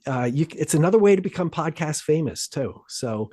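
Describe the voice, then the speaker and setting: Hoarse-voiced man rambling as podcast host. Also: a little high, very animated, a little fast, dark tone, dry recording. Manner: rambling and joking, many fillers and swearing. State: losing his voice from a cold. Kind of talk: casual podcast chat.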